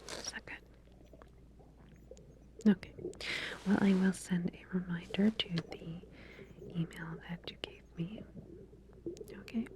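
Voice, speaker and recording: A woman whispering softly and breathily in an ASMR roleplay, speaking to the listener as a calm, reassuring, professional researcher. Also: fairly low pitch, slow pace, pauses often, background noise. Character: calm, attentive female scientist running a medical experiment.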